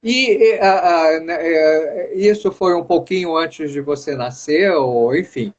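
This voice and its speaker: A Brazilian man as an interview guest, a measured storyteller who recalls details with enthusiasm. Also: on the high side. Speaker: guest, former artist manager and producer. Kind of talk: casual interview chat. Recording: dull lo-fi sound.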